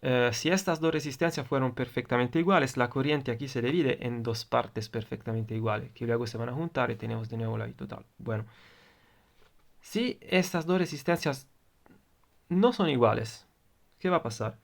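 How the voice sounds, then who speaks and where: A man's voice: mid-range voice, normal speed, vocal fry. A man with an Italian accent, a native Italian speaker, teaching an electronics lesson and often repeating and rephrasing his points. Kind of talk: explaining electronics on video.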